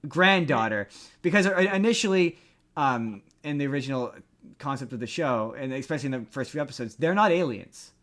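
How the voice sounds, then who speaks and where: A man's voice: mid-range voice, fairly bright tone. A man hosting a casual pop-culture discussion, talking in a rambling way and repeating words for emphasis. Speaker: podcast host.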